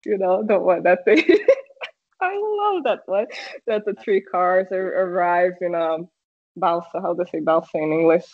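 A Brazilian woman speaking English as a second language, a podcast guest in casual chat, pausing often to search for words. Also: lowish voice, animated, no room sound. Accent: Brazilian Portuguese. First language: Portuguese. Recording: muffled lo-fi call audio.

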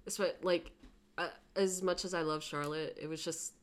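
A woman in her twenties telling stories in a relaxed chat, talkative, saying "like" often and laughing easily. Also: sits low, moderate pace. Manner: chatty, laughs often, lots of "like". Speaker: interviewee. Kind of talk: casual conversation.

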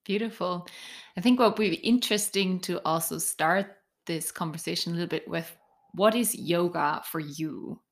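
A woman hosting an interview podcast, speaking deliberately, phrase by phrase. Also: lowish voice.

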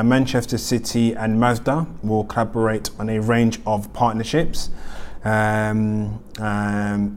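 A man's voice: lowish voice, darkish tone, a little hiss or hum. A man hosting a weekly news roundup, reading the items with many ums and uhs. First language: not German. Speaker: host.

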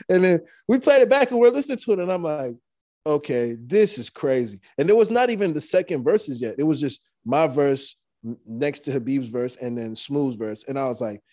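A man's voice: fairly high pitch, normal speed, no echo, studio quality. A young man, a rapper, telling stories casually with lots of fillers and restarts. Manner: relaxed, rambling, many fillers and restarts. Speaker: rapper guest. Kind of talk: casual storytelling interview.

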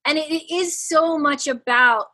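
Woman, 40s, high voice, bright tone, coach, casual podcast chat, laughs often. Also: unhurried. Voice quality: higher-pitched, youthful-sounding. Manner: expressive, laughs often, animated.

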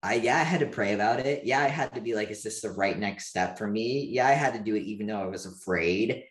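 A man's voice: fairly low pitch, fairly quick. A man in his thirties, an interview guest speaking candidly and openly, with lots of casual fillers. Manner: open, animated, frequent "like" fillers. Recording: echoey room.

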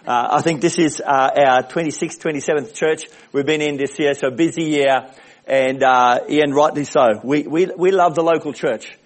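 A man preaches a sermon in a big, booming voice that sits high in pitch. He hammers his points home by repeating short phrases. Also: normal speed. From Australia. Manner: big booming voice, emphatic repetitions. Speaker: visiting preacher. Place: church service.

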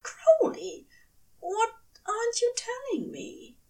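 A woman's voice: extremely high pitch, wildly swooping pitch, takes it slowly, warm tone, far from the mic, pitched above the performer's own voice, exaggerated voice, low-quality recording. A woman voicing a male angel in audio fiction, wounded, aghast and demanding in an emphatic way. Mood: accusing, hurt. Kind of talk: audio fiction character acting.

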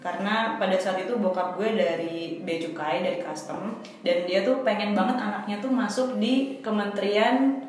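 A woman's voice: medium pitch, normal speed. Young woman chatting in an echoey room. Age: 20s. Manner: casual slangy talk with English phrases mixed in. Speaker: podcast guest. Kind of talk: casual storytelling chat. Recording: very echoey room with faint noise.

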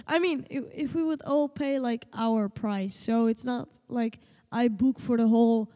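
A woman's voice: a little high, a little slow, mellow, big-room sound. A teenage girl from Slovenia, a native Slovenian speaker, chatting casually and hesitantly in an interview with many fillers and restarts. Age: teens. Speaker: guest, host's daughter.

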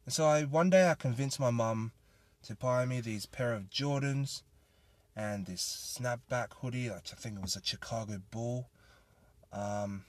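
A man's voice: medium pitch, no room sound. A young Australian man hosting a solo podcast, talking in an earnest, rambling and grateful way. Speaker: podcast host. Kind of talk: casual solo podcast monologue.

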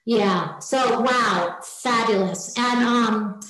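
A woman's voice: a little high, drawn-out words, a bit bright, roomy sound, a little hiss or hum, rough recording. A woman in her sixties hosting a casual interview, talking with effusive enthusiasm. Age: 60s. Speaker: podcast host.

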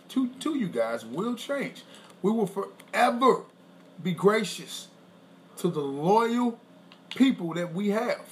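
High-voiced guy rambling on a podcast, clears throat a lot, bit of hiss. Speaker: podcast co-host. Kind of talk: casual chat.

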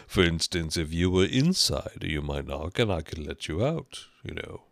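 A man voicing an indifferent, dim-witted creature footman in a storybook reading, deadpan and drawn-out. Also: sits low, no room sound, clean studio sound, exaggerated voice. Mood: matter-of-fact. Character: indifferent, dim, stolid male fish-or-frog footman creature.

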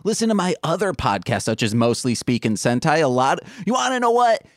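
Jokey, lively-voiced male podcast host. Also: a little high, fairly quick, no echo, studio quality. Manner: jokey, rambling. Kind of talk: casual podcast outro with plugs.

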